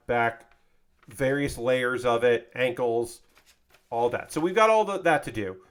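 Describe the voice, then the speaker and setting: Tired casual male streamer. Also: mid-range voice. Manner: loose and profane, lots of fillers. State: tired, voice a bit worn. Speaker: streamer building a model kit. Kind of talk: casual livestream chat.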